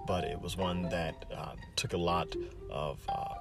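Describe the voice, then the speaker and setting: Male podcast host, very low gravelly voice, halting, casual intro, loud music behind. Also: steady tone. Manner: halting, hesitant.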